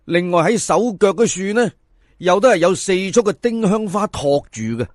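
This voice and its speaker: A man's voice: sits high, animated, moderate pace, no room sound, clean studio sound. A man with a Cantonese accent narrating a folk tale in a theatrical, colloquial way, speaking straight to his listeners. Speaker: storyteller.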